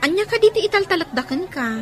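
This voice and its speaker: A woman's voice: very high-pitched, lively intonation, fast, a faint crowd, a little hiss or hum. A woman playing an inquisitive young student and shop worker in an Ilocano radio drama, with an Ilocano accent. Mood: curious, friendly.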